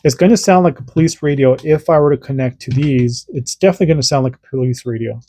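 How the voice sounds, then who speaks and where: A man's voice: mid-range voice, fast, warm tone, slight background noise. A man and parent, hosting a casual hands-on radio demo and explaining in an informal, rambling way that often restarts sentences. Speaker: radio hobbyist host.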